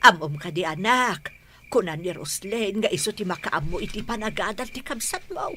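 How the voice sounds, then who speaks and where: A woman's voice: medium pitch, expressive, moderate pace, bright, forceful tone, a little hiss or hum. An actress with an Ilocano accent playing a nagging, money-minded older mother in a radio drama, by turns pleading and scolding. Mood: pleading, pressing.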